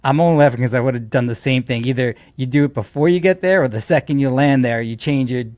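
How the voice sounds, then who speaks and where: A man's voice: medium pitch, fast, a little mellow, dry recording. A man chatting casually on a livestream as a co-host, opinionated and teasing. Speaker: co-host or regular guest on a livestream. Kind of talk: casual chat.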